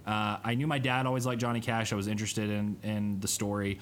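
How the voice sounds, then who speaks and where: A man's voice: fairly low pitch, little pitch movement, fairly quick, slight background noise. A man of thirty, co-hosting a podcast in casual chat and telling a rambling story that keeps correcting itself. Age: 30s. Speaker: podcast co-host.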